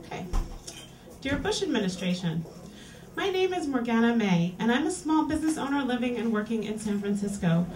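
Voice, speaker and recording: A woman's voice: a little high, very animated, a little mellow. A woman hosting a reading series, reading an erotica piece aloud to a live audience in a small lounge. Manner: clear, deliberate reading-aloud delivery. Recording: roomy, distant live capture.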